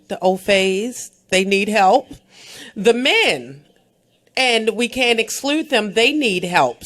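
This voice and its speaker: An American woman hosts a radio talk show at an ordinary middle pitch. She talks emphatically and keeps calling out to her listeners, with plenty of "um" between her thoughts. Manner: lively and emphatic, with frequent "um" and direct calls to listeners. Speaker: radio host. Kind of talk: radio interview and event promotion.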